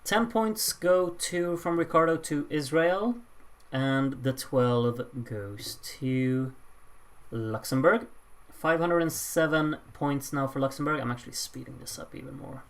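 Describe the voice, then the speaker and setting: Someone hosting a live points vote with casual commentary, announcing results with drawn-out pauses and slipping in dry, offhand asides. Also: medium pitch. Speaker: host announcing song contest voting results.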